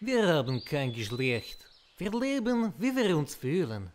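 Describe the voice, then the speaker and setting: A man playing a sly, haughty, genderless witch with a foreign accent, slow and theatrical, in a comic audio drama. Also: mid-range voice, very animated.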